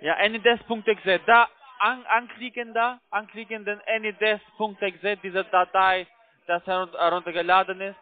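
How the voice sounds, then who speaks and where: A man with a foreign accent, not a native speaker, giving halting step-by-step instructions as a call center agent. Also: sits high, fairly even intonation. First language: not German. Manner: halting, broken grammar. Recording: tinny narrow phone line.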